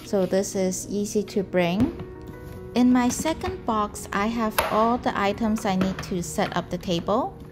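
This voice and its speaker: Woman, 30s, potter vlogger, unhurried and soft-spoken over background music. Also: mid-range voice, expressive. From Canada. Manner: slow, gentle, careful delivery. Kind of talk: calm explanatory vlog narration. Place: home room indoors.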